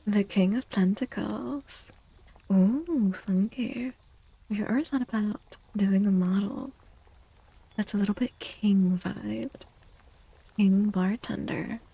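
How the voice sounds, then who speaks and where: A woman speaking softly and gently in a breathy, half-whispered ASMR style, like a livestreamer, often repeating phrases. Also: mid-range voice, takes it slowly, rough recording. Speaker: streamer and tarot reader. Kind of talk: soft-spoken ASMR livestream with casual chat.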